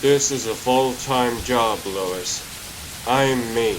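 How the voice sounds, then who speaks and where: A man voices an earnest comic-book superhero who is tired of nonsense. His delivery is slow, serious and measured, at a middling pitch. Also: noisy background, natural voice. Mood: weary exasperation. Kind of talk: comic-book dub acting.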